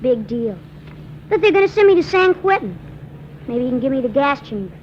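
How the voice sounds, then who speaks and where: A woman's voice: sits high, very animated, fairly quick. A woman playing a defiant, cocky small teenage boy with sullen, snappy American backtalk in a 1950s radio drama. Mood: sarcastic. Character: defiant, cocky small fourteen-year-old boy thief. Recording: muffled vintage radio recording with noise.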